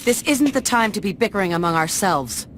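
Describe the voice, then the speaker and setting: A woman's voice: mid-range voice, animated, brisk, slight background noise, natural voice. A woman playing a stern, spirited overseer in a dramatic video game cutscene. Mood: exasperated.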